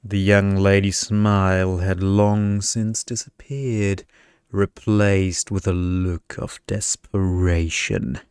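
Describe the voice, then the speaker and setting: Slow, smooth, deep-voiced man reading a story. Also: flat delivery, darkish tone, no room sound, clean studio sound. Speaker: story reader, ASMR narrator. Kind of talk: ASMR storytelling, scripted narration.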